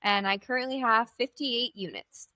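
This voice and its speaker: A woman's voice: a little high, normal speed, pushed and bright, no room sound. A 22-year-old woman, a podcast guest on a video call, laughing easily and a bit self-deprecating. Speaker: podcast guest, rental entrepreneur. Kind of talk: casual interview chat.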